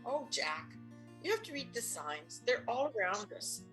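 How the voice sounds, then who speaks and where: A woman's voice: fairly high pitch, big pitch swings, a little slow, fairly bright tone, faint music behind. An older woman playing a firm, practical, exasperated but loving wife in an audio play, matter-of-fact and insistent. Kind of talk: audio play stage acting.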